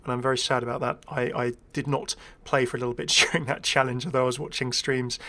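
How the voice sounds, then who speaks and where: A man's voice: mid-range voice, little pitch movement, fast talker, sharp tone. A man giving casual, rambling first-impressions commentary as a gaming video creator, chatty, enthusiastic and self-deprecating.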